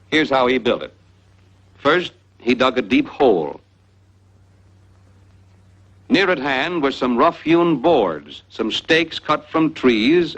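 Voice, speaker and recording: A man's voice: medium pitch, a bit bright. A man narrating a scripted 1940s educational film in a didactic, folksy storytelling manner. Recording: dull vintage 1940s film soundtrack.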